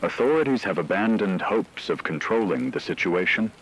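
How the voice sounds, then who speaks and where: Man playing a deep, grave radio horror reporter. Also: expressive, normal speed, a bit bright, slightly rough, full character voice, low-quality recording. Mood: grave, ominous. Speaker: radio news anchor. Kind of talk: scripted dramatic radio news narration.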